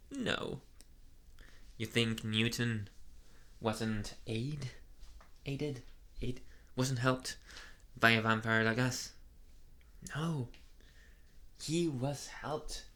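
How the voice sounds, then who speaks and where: A man voicing a soft, flustered young male vampire in an ASMR boyfriend roleplay, speaking hesitantly and earnestly in an intimate murmur. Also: medium pitch, lively intonation, takes it slowly, vocal fry, full character voice. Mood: earnest, proud.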